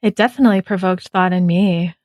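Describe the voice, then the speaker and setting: Woman podcast host, low mellow voice, slow and gentle, lots of mm-hmms. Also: no echo, studio quality. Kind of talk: casual interview chat.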